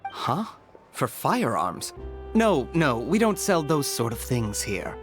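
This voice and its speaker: Animated, courteous toy shopkeeper. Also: male voice, medium pitch, slow, over music. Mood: puzzled. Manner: courteous, customer-service politeness. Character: polite male clockwork toy shopkeeper, cooperative, slightly puzzled. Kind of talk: video game voice acting.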